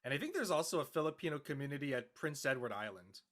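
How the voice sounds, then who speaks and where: A man's voice: fairly high pitch, sing-song, quick pace, fairly bright tone. A man from Canada chatting casually as a panelist in an online group conversation. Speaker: panelist and engineer. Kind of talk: casual chat.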